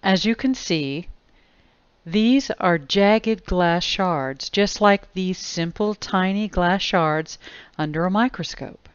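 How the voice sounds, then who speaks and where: A woman delivering scripted explanatory narration in a grave, deliberate manner. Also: fairly low pitch, smooth, dry recording. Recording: dull lo-fi close dry voice-over.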